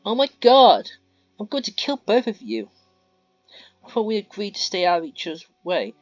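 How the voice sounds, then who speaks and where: Shrill furious young woman, as a man puts her on. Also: animated, a little fast, dry recording. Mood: enraged. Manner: snapping, shouting insults. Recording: dull lo-fi audio, faint guitar music.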